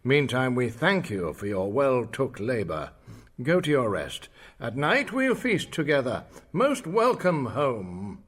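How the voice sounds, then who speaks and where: A commanding, calculating king acted by a man in a Shakespearean play. He speaks with stately, gracious polish, and his pitch moves widely across a medium register. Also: big pitch swings, takes it slowly, fairly bright tone, exaggerated voice. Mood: welcoming, regal. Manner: stately, rhetorical. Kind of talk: Shakespearean stage-style acting.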